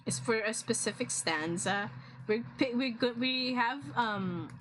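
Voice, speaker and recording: A woman's voice: a little high, measured pace, a bit bright, slight background noise, rough recording. A young woman with a Filipino accent, whose first language is a regional Philippine one, chatting casually on a drawing stream with many fillers and restarts. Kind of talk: casual chat while drawing.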